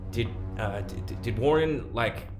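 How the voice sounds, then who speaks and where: A man's voice: medium pitch, unhurried, lightly acted. A man playing a casual, awkward escape leader in an audio drama, speaking with stammering, conversational hesitancy. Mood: probing, wry. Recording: echoing room, music behind.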